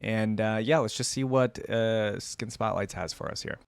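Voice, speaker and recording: Low-voiced man, casual reaction commentary. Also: moderate pace, gravelly. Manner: conversational, musing aloud. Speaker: reaction video host.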